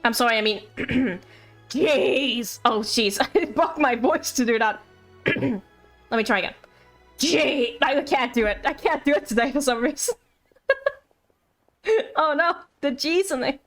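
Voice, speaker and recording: A woman's voice: sits high, unhurried, long pauses, fairly bright tone. A woman streaming a video game, chatting casually with playful asides and reading game text aloud over music.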